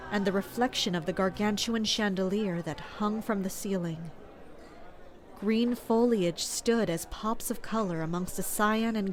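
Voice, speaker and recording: A guarded, watchful palace servant , acted by a woman, narrates a fantasy audio drama. The voice sits mid-range, serious and measured, with music faintly behind it. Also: mid-range voice, natural voice. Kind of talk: scripted first-person audio drama narration.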